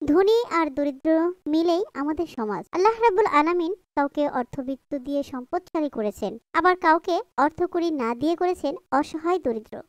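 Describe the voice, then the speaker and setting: Kind, pious young-family mother for a moral cartoon. High pitch, earnest teaching delivery, dry studio sound. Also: lively intonation, fairly quick, dry recording. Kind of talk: Islamic moral cartoon voice acting.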